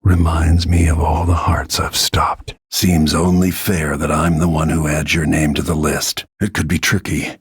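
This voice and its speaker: Brooding middle-aged game assassin, really raspy deep male voice, grim quiet menace, close dry sound. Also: little pitch movement, unhurried, no echo, full character voice. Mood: hushed menace. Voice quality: gravelly, husky. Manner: grim, low-key, menacing. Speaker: video game voice actor. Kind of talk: dramatic game voice lines, brooding inner monologue.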